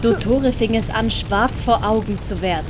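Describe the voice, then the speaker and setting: A woman's voice: fairly high pitch, moderate pace, noisy background. A woman with a Swiss German accent reading scripted story narration for an audiobook.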